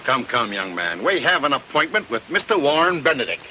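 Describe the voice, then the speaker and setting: An actor playing a wily, jovial and pushy missing-persons bureau boss in an old-time radio detective drama. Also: male voice, mid-range voice, sing-song, fairly quick, pushed and bright, slight background noise, rough recording. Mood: persuasive.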